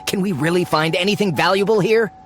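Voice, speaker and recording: A man's voice: sits high, fast talker, music in the background, natural voice. An actor voicing a sly, greedy treasure-seeking man with theatrical delivery in a video game. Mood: musing, eager. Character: greedy, eager treasure-seeking man feigning scholarly interest.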